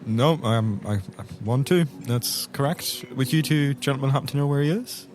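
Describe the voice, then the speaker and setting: A man's voice: mid-range voice, moderate pace, natural voice. A man playing a polite, persistent investigator with a hesitant, dry manner in an improvised tabletop game. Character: polite, persistent male investigator named Dolan.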